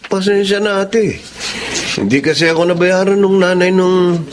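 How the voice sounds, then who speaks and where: An actor playing an earnest young aspiring teacher in a Filipino radio drama, polite and pleading. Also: male voice, high voice, moderate pace, background noise, lightly acted. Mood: polite, apologetic. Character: earnest young aspiring teacher, dejected son, apologetic tutor.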